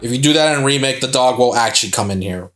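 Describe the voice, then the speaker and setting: A man's voice: medium pitch, fast, a bit bright. A young adult man casually commenting on a game as he plays, joking, swearing freely and laughing at himself. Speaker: let's play host.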